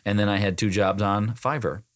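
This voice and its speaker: A young man with a very low voice, recorded close and dry with no room sound. He chats casually on a podcast and jokes and teases his co-host. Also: steady tone, a little fast, no echo. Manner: joking, teasing. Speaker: voice-over talent, podcast co-host. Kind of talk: casual chat.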